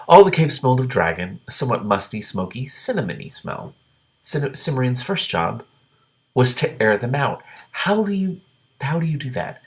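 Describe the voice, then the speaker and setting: Low-pitched man reading aloud with asides. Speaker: reader with commentary, show host. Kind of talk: reading a novel aloud with spontaneous commentary.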